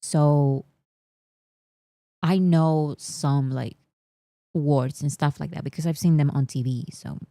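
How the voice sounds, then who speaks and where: A young woman whose first language is Spanish chats casually with her audience in a low, mellow voice. She teases and brags in jest, laughing often, recorded dry and close. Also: fairly even intonation, slow, creaky, long pauses, dry recording. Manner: playful, teasing, mock-boastful, laughs often. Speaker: host. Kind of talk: casual livestream chat reading comments.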